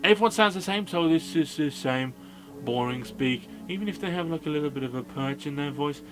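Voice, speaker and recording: A man's voice: a little high, expressive, a little fast, soft background music. A man narrating a scripted comedic film review in a sarcastic, sweary manner. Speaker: film review video host.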